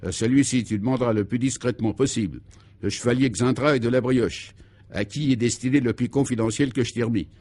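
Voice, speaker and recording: A man's voice: mid-range voice, brisk, a little mellow, no room sound, close to an everyday voice. A man playing a bossy conspirator in a comic radio play, commanding, pompous and exacting as he gives absurd orders. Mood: commanding, secretive.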